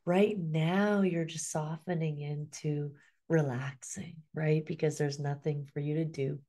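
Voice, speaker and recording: A middle-aged woman guiding a hypnosis session in a slow, soothing way, often sinking into a soft whisper. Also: deep voice, steady tone.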